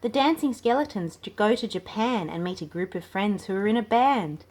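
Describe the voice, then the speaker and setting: Woman playing an excitable, high-pitched sleepy young man. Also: moderate pace, lightly acted. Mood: excited. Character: sleepy young male singer, groggy then eager.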